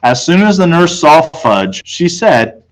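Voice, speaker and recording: A man's voice: fairly high pitch, very animated, unhurried, rough recording. American man reading a children's story aloud. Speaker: teacher reading aloud to class. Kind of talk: audiobook-style read-aloud of children's novel, first person.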